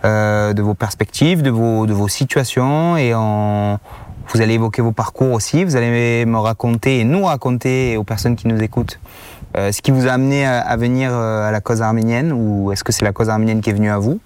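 A man's voice: mid-range voice, fairly even intonation, brisk, darkish tone, slight background noise. A man hosts a casual podcast interview outdoors, often hesitating and repeating words as he searches for phrasing. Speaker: podcast host. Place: outdoors in the sun.

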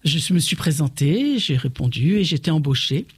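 A woman in her sixties from Lorraine, a nun, recalling her calling in a gentle, hesitant way with frequent restarts. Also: low voice, expressive, normal speed, breathy edge, dry recording, studio quality. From Lorraine, eastern France. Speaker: nun, interviewee. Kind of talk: reflective personal testimony.

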